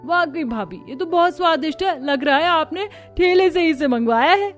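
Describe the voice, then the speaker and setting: Casting: woman as eager, excitable cartoon girl, high-pitched, music underneath. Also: moderate pace. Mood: excited. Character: excitable young sister-in-law, eager for street food. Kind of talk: Hindi cartoon story dialogue. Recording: music behind the voice.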